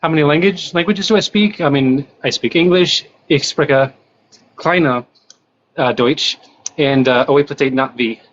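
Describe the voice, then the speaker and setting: A man hosting a casual livestream and screening callers with quick set questions. He sounds nauseous after recent surgery. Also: fairly high pitch, fairly bright tone, highs cut off, slight background noise. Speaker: livestream host. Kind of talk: casual live-stream chat with callers.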